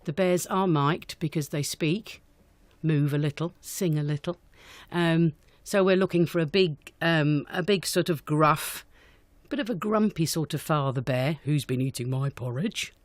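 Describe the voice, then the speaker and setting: A woman's voice: deep voice, measured pace, no room sound. Woman chatting in a dry close recording. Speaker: guest, amateur pantomime director. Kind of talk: unscripted radio interview answers.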